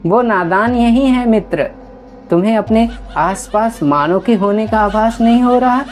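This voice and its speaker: A woman's voice: fairly high pitch, measured pace, a little mellow, soft background music, pitched above the performer's own voice, lightly acted. A woman voicing an old male shape-shifting ascetic, proud and familiar, in a storybook tale. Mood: confident.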